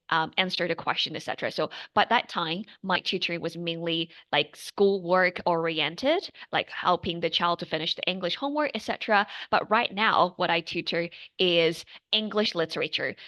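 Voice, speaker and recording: A woman's voice: fairly low pitch, bright, forceful tone. A young woman from China with a Chinese accent, native Mandarin speaker, answering interview questions earnestly with many fillers and restarts. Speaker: guest tutor.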